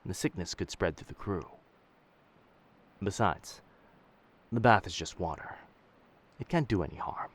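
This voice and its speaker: A man playing a dangerous but gentle pirate captain in an intimate roleplay audio drama, protective and commanding, with old-fashioned seafaring speech. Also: low voice, animated, measured pace, long pauses, slightly rough, exaggerated voice. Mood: firm, urgent.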